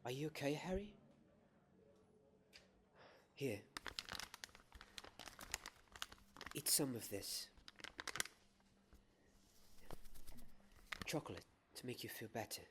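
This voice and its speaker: A young man playing a kind, gentle wizard professor in a soft-spoken ASMR roleplay, soothing and full of pauses. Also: mid-range voice, very animated, noisy background, natural voice. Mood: concerned, gentle. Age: young adult. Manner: slow, soothing, pausing, repeats words softly. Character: kind, gentle male wizard professor teaching defense class.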